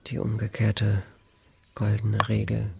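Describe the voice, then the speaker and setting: A man with a creaky, gravelly voice, musing and halting as he thinks aloud while explaining a text over music. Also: fairly low pitch, completely flat delivery, heavily acted. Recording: music behind the voice.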